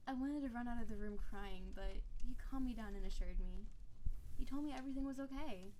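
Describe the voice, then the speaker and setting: A woman's voice: a little high, fairly quick, mellow, slight background noise, close to an everyday voice, low-quality recording. A woman playing an affectionate, possessive snow-spirit wife in an intimate roleplay audio drama, teasing and doting on her husband. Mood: nostalgic, devoted.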